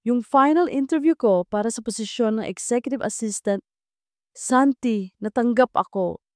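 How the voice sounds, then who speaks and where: A sweet young receptionist with big dreams, voiced by a woman narrator in an audio romance drama. Her tone is soft-edged and mellow, and the sound is close and dry. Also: on the high side, moderate pace, no echo, lightly acted. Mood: excited, happy. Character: Ali, young female receptionist, dreamy, excited, ambitious, sweet.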